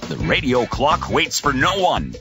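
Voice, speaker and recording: A man's voice: mid-range voice, sing-song, measured pace. Male radio promo announcer over guitar music. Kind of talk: scripted radio promo read.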